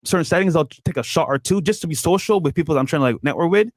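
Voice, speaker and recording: A thirty-year-old man co-hosting a podcast, talking casually and acting out what people say in an animated way. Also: fairly high pitch, rapid-fire. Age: 30s. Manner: animated, acts out quoted voices. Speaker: podcast co-host.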